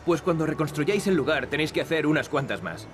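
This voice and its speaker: A man's voice: fairly high pitch, expressive, fast, lightly acted. An actor with an accent from Spain playing a cocky, sarcastic young male adventurer in a wry, flippant way for a video game. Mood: wry, composed. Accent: Spanish from Spain. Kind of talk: dubbed adventure game dialogue. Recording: loud music behind the voice.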